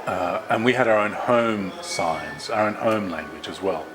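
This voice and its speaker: Low-voiced male interpreter, measured. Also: over music. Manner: measured, careful phrasing with small restarts. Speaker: sign language interpreter voicing both sides.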